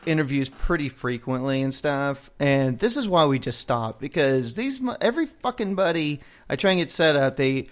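A man's voice: mid-range voice, lively intonation, normal speed, few pauses. A man hosting a podcast, telling a rambling story in casual chat with a lot of swearing. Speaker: podcast host.

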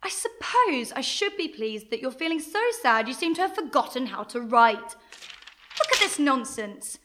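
An actress playing a feisty, sarcastic adventure heroine in a radio play, speaking bluntly and indignantly. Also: female voice, high-pitched, very animated, a little slow, noisy background.

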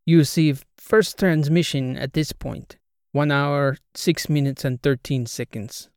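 A man's voice: on the high side, slow pace, a little mellow, clear, resonant tone, dry recording, clean studio sound. A man doing an earnest Croatian student with clipped English. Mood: explaining. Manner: clipped broken English, dropped articles. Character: male Croatian PhD student, earnest, blunt.

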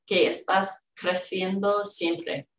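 A woman's voice: mid-range voice, flat delivery, extremely slow, a bit bright. A woman training as a legal interpreter, chatting hesitantly with many fillers. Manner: hesitant, filler-heavy, laughs lightly. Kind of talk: casual conversation. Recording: echoey room, distant from the mic.

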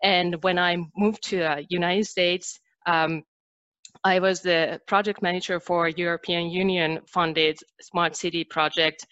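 A middle-aged woman from Finland with a Finnish accent, telling her career story as an interview guest with many pauses. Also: lowish voice, fairly even intonation, a bit bright, no echo, muffled recording. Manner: earnest, with frequent "uh" and "um" pauses. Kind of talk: conversational interview.

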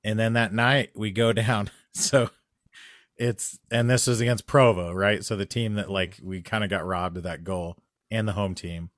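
A man in casual chat, telling a long story that gets louder and more animated as it goes. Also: on the low side, moderate pace, no room sound, studio quality. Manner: animated storytelling, rising loud when excited.

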